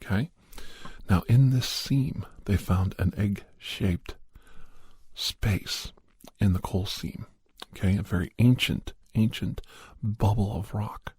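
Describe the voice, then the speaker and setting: A man hosting an ASMR podcast, talking informally in a hushed, unhurried way close to the microphone, sometimes slipping toward a whisper. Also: on the low side, breathy edge, no echo. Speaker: podcast host. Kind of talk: ASMR, rambling update and story recap.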